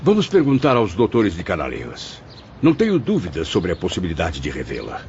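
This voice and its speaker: A man plays a consoling, reasoning male spirit who tells his tale in an audiobook drama. The voice is low and very animated, with theatrical, measured phrasing. It sounds slightly muffled over background music. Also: slight background noise, full character voice. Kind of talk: dramatized audiobook narration and dialogue. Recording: dull lo-fi audio with music behind.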